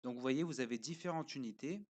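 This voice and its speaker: A man of middling pitch talks through a review in a loose, unscripted way. He often stops on fillers and walks the listener through each step as he goes, like someone showing things on a screen. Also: darkish tone. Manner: hesitant, pauses often with fillers. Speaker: parent reviewing a learning platform. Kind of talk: casual spoken review.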